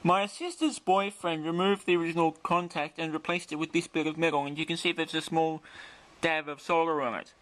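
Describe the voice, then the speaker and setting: A man's voice: on the high side, steady tone, a little fast, a bit bright, poor audio. A man giving an unscripted show-and-tell as a video presenter, explaining things carefully and in detail. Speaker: presenter of a vintage radio demonstration video. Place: indoors in a bright room.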